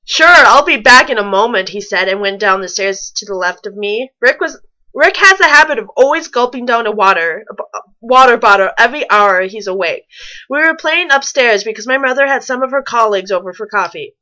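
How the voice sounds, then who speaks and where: Bright, high-pitched woman, very lively reader. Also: a little fast, rough recording. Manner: wry, nitpicking. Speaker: fan fiction reader and commentator. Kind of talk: reading a story aloud with mocking asides.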